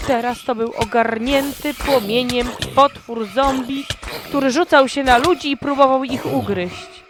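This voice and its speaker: A woman narrating a first-person horror story in a slow, dramatic storytelling manner. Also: high-pitched. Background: background music.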